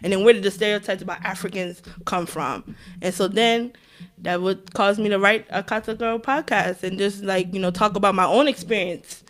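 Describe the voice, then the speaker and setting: A female podcast guest with a medium-pitched voice. She speaks informally, telling stories in a casual interview style. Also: steady tone, normal speed, a bit bright. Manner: informal, conversational. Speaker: podcast guest, fellow podcast host.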